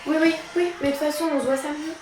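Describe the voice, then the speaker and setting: Woman playing a high-voiced teenage girl. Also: fairly even intonation, very fast, reverberant, over music, lightly acted. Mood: hurried, casual. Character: teenage daughter, casual, earnest. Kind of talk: naturalistic audio drama dialogue.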